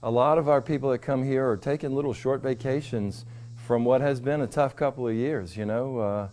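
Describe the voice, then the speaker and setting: A man's voice: mid-range voice, brisk, a little mellow. A middle-aged American man talking casually and plainly in an interview as a business co-owner, with a down-to-earth way of speaking.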